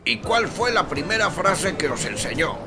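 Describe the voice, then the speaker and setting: Pedantic, ironic mentor professor male actor, slightly high voice, theatrical radio-soap delivery over prominent music. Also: very animated, normal speed. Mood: pedantic, mock-exasperated. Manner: theatrical, pedantic, sprinkles Latin. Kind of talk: radio soap opera acting. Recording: music behind the voice.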